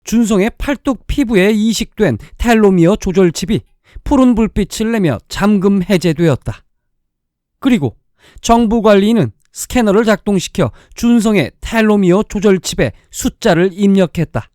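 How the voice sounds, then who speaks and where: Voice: medium pitch, expressive, moderate pace, a little mellow, no echo. A storyteller reading a dramatic science-fiction tale in a scripted narrating style. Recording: music under the voice. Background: background music.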